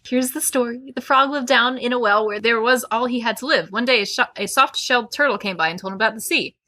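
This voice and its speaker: A woman's voice: on the high side, fast, bright, forceful tone, dry recording. A woman co-hosting a podcast in casual, conversational talk. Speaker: podcast co-host.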